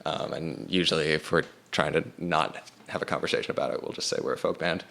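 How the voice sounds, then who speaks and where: A young man with a very deep, resonant voice talks in a relaxed interview. He rambles and stacks up fillers as he tells stories about his band. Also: fast talker, creaky. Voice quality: low resonant voice. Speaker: band singer. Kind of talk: casual interview chat.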